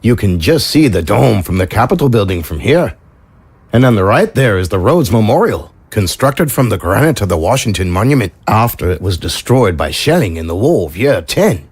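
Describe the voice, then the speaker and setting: An actor playing a courteous, knowledgeable chauffeur with a husky voice and ornate, formal speech in an audio drama. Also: male voice, lowish voice, big pitch swings, moderate pace, exaggerated voice. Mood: proper, informative.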